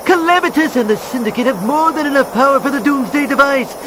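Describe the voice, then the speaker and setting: Very high-pitched manic cartoon villain. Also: male voice, fast talker, noisy background. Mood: gloating. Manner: ranting, boastful, hysterical. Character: manic, shrieking, power-mad male cartoon villain. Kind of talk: cartoon video game villain acting.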